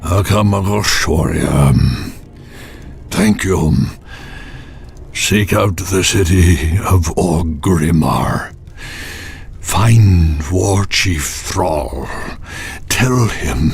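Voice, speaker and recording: Gravelly, proud old orc warrior. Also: male voice, low-pitched, soft background music, exaggerated voice. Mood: fading, urgent. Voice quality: gravelly, rough. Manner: labored, halting, proud. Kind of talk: dramatic fantasy game acting.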